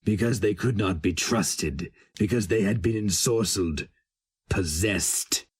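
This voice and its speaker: Man playing a low, grave old hero mage. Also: a little slow, husky. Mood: cold distaste. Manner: grave, restrained, disdainful.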